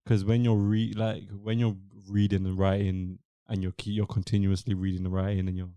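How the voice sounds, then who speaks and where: A young man with an English accent hosting a podcast, talking in a relaxed, rambling way with many fillers. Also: fairly low pitch, a little fast, smooth, no room sound, muffled recording. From England. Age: young adult. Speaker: podcast host. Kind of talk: casual podcast chat.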